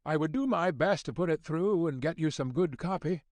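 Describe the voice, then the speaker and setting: A man's voice: a little high, moderate pace, a little mellow, no echo, lightly acted. A man playing an ardent young newspaper reporter in his twenties, polite and earnest, in an audiobook. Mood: earnest, pleading. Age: 20s. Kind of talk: audiobook character dialogue.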